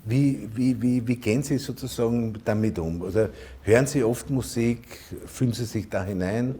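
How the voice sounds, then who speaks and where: A man's voice: medium pitch, normal speed, mellow. A man hosting an interview who asks long, hesitant questions full of fillers and keeps murmuring agreement. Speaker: interview host.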